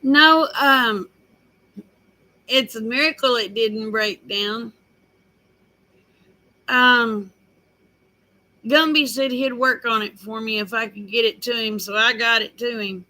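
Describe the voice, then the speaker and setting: Older woman, slow folksy rambling, casual vlog chat. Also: a little high, bright, forceful tone, poor audio. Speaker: vlogger.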